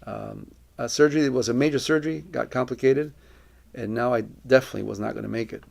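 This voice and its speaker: Creaky-voiced middle-aged man giving personal testimony. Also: mid-range voice, fast, darkish tone. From Colombia. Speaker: missionary.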